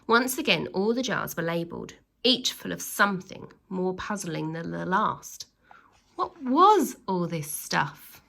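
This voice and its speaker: A woman reading a children's story aloud expressively, with theatrical, drawn-out emphasis on key words. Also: on the low side, sing-song, vocal fry, fairly bright tone.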